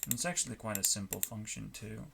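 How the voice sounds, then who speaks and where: A man rambling casually in a halting, musing way, often trailing off, as if talking through his work on a stream. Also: on the low side, lively intonation, creaky. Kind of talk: rambling casual chat while coding. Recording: noticeable background noise, keyboard clicks.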